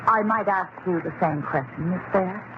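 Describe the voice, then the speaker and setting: A cool, scheming wife played by a woman in an old radio suspense play. Her delivery is haughty and clipped. The vintage recording is dull, with clear background noise. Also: fairly low pitch, normal speed, natural voice. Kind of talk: old-time radio drama acting.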